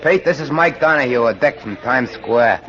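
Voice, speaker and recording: An actor playing a streetwise small-time pickpocket in an old-time radio drama, casual, slangy and wheedling. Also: male voice, medium pitch, animated, moderate pace, bright, forceful tone. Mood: chummy, evasive. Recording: dull old radio recording.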